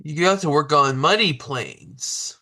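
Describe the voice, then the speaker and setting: A male podcast host with a rather high, bright voice asks his questions slowly. He hesitates and stumbles over his words now and then. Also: vocal fry. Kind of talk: interview questions.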